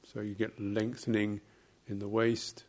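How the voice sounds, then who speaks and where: Slow, creaky-voiced man guiding meditation. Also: on the low side, level tone, a little mellow. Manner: measured, halting, with long pauses between short phrases. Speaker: meditation teacher. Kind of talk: guided meditation instruction.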